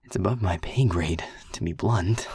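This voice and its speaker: A gruff, protective man played by a male actor in an audio drama. His voice is deep and very husky, full of breath, and he takes his time over each phrase in a close, dry sound. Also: darkish tone, dry recording, heavily acted. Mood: grave, measured. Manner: slow, deliberate, halting phrasing. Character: gruff, protective man calming a frightened friend. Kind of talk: audio roleplay acting.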